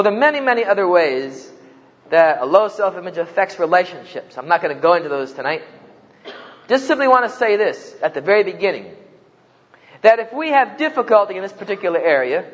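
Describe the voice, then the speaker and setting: A man's voice: high-pitched, a bit bright, big-room sound, dull audio. A man from New Jersey with a New Jersey accent, giving a humorous talk to students and acting out the voices in his stories. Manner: joking storyteller, acts out quoted voices. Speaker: campus speaker.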